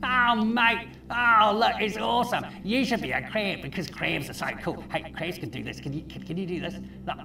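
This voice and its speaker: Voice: mid-range voice, fairly even intonation, moderate pace, gravelly, hardly any pauses, echoey room, recorded from a distance, music in the background, close to an everyday voice. A performer voicing a boastful, laid-back, cheerful crab in a children's puppet show, matey and colloquial. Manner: matey, bragging, repetitive, colloquial.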